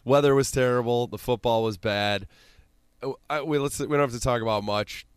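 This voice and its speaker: A man's voice: mid-range voice, fairly quick, clear, resonant tone, dry recording, studio quality. A man with a central Illinois accent, hosting a casual sports podcast with exasperated, sweary rambling.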